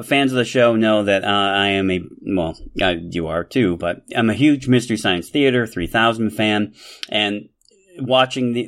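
A man co-hosts a podcast in a relaxed chat. His voice sits on the lower side. He often repeats words as he goes. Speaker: podcast co-host. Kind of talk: casual chat.

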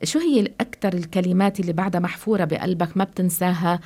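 A woman's voice: lowish voice, racing. A woman hosting a call-in interview, attentive and encouraging, often murmuring agreement. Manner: attentive, constant soft "mm" backchannels, asks gentle questions. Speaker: radio show host.

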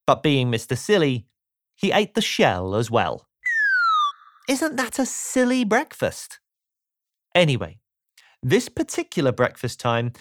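A man's voice: mid-range voice, no echo, clean studio sound. A man narrating a children's storybook as an audiobook, in a playful way that invites the listener in. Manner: playful, asks the listener rhetorical questions.

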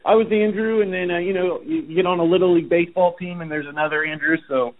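Man, podcast host, friendly, chatty, higher voice, tinny phone-like audio. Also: steady tone, fast talker. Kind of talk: casual podcast interview.

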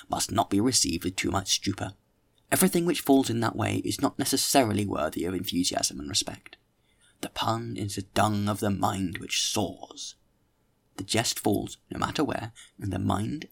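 Male reader as a young male orator, tipsy, pompous, preachy, creaky low voice, measured grand delivery, storybook. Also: no room sound. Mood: lofty.

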